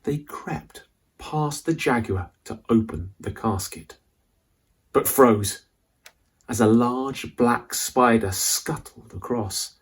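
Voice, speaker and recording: A man reading a children's adventure story aloud as a dramatic, suspenseful narrator with deliberate delivery. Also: medium pitch, husky.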